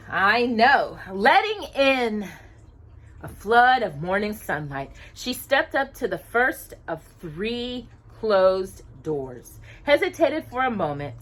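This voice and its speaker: A woman reading a children's storybook aloud in an expressive, animated storyteller's manner. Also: medium pitch. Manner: animated, asks listeners questions.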